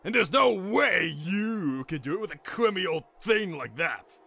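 A man voicing a boastful, excitable older mad scientist in a blustering, exclamatory manner for a story. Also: sits high, measured pace, creaky, breathy edge, soft background music, pitched above the performer's own voice, exaggerated voice. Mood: scornful.

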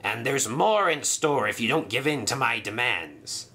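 A man doing a smooth, gloating sailor villain. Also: medium pitch, animated, measured pace, bright, forceful tone, a little hiss or hum. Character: scheming male sailor villain, smug.

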